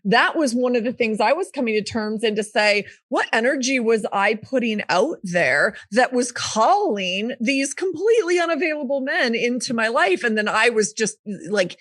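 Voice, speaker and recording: A woman's voice: a little high, lively intonation, normal speed, few pauses, a bit bright, no room sound, clean studio sound. A woman in her fifties, a coach and speaker, talking frankly and emphatically as a podcast guest, with the odd swear word. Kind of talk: candid conversational interview.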